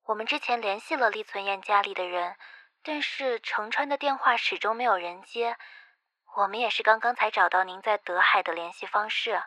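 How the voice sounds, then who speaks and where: A polite, caring kindergarten teacher in an audio drama. Her voice is bright and clear-cut, at a middling pitch. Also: moderate pace, no echo, rough recording. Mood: polite, concerned. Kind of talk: Chinese audio drama acting.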